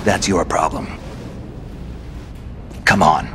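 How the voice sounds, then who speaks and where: Gruff, gravelly low-voiced veteran soldier. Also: male voice, fairly even intonation, slow pace, background noise. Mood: grave, resolute. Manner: terse, firm, world-weary. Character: gruff, steady veteran male soldier mentoring a rookie. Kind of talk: dramatic video game voice acting. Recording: loud music behind the voice.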